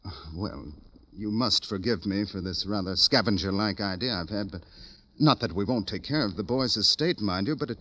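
A man's voice: lowish voice, normal speed, sharp tone. A man acting a fussy, genteel, self-serving publisher in a classic radio drama, wordy and pompous. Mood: delicately scheming. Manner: pompous, wordy, mannered, hemming.

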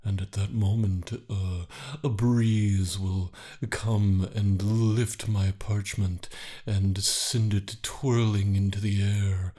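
A man co-hosting a comedy podcast, talking in a deadpan, mock-formal way through improvised banter. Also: lowish voice, slow pace.

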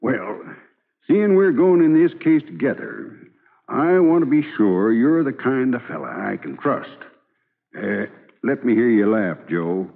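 A kindly, folksy American frontier lawyer with a lively, swinging pitch and homespun sayings. He persuades with gentle humor in an old radio play, heard muffled through long hall reverb. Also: measured pace, gravelly. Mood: reassuring, warm. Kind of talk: old-time American radio drama acting.

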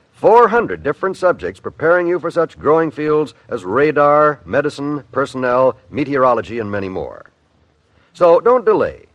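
A man's voice: fairly high pitch, a bit bright, no echo, dull audio. A man with an American accent reading a scripted 1950s radio recruitment ad in an emphatic, declamatory announcer style.

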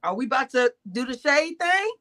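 Bright-voiced teasing woman in her forties. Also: high voice, very animated, a little fast. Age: 40s. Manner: teasing, playful challenging. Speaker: panelist on a TV recap livestream. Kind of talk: casual group banter.

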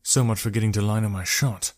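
A man's voice: on the low side, fairly even intonation, fast talker, lightly acted. A man voicing a self-assured male archer in a story, with a commanding, curt and dry delivery. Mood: rueful, disgusted.

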